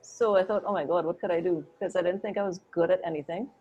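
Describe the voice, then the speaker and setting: This is a woman guesting on an interview, sounding chatty and animated as she recounts her career. Her voice sits somewhat low. The audio is a bit muffled, like a lo-fi video call. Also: quick pace, a little hiss or hum. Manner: chatty and animated, peppered with "like" and "oh my God". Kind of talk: casual storytelling interview.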